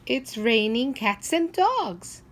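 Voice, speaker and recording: Woman putting on a loud, grumpy old man. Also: on the high side, very animated, voice pushed up, full character voice. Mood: grumpy, irritated. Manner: emphatic, drawn-out grumbling exclamations. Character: grumpy old man, curt, complaining, gruff. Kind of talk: children's picture book read-aloud.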